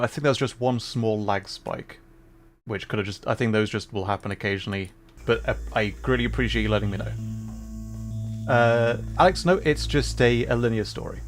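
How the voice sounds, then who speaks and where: A man casually commenting as he plays a game, relaxed and chuckling, explaining the mechanics to viewers. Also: mid-range voice. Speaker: streamer.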